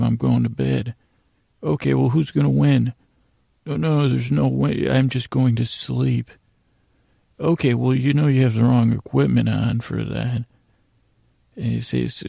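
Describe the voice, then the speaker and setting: A man with a gravelly, creaky voice hosting a bedtime podcast, rambling through an improvised monologue in a halting, stammering way and repeating his words. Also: medium pitch, dark tone, no room sound, studio quality.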